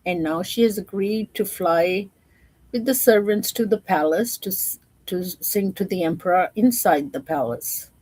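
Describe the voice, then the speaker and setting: A woman's voice: mid-range voice, a little slow, a little mellow, husky, low-quality recording. A woman storyteller reading a children's storybook aloud and explaining it simply to young listeners.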